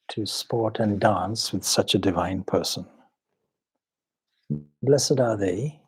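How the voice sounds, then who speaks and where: A man leading a guided meditation as a swami, speaking solemnly and measuredly in short phrases with long pauses. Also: on the low side, a little mellow, breathy edge. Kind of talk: spiritual talk and guided meditation.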